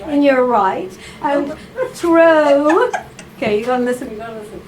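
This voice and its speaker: A woman's voice: high voice, moderate pace. A woman reading a passage aloud at a live salon and playfully directing two volunteers, laughing and coaxing as she goes. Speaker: reader at a writers' salon. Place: live event room with audience. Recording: noisy live room recording.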